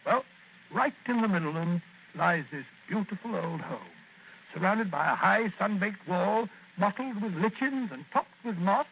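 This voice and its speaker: An actor playing an amiable, stammering doctor companion in a 1940s radio drama. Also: male voice, sits high, normal speed, vocal fry, slight background noise. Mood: recounting. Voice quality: stammering, genial. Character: amiable, bumbling male doctor companion, middle-aged. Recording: tinny lo-fi old radio recording.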